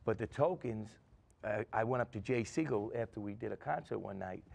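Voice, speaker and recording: Gravelly middle-aged New man. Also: on the low side, fairly quick, a little mellow. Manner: rambling storyteller, many restarts and fillers. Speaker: guest musician. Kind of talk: casual chat.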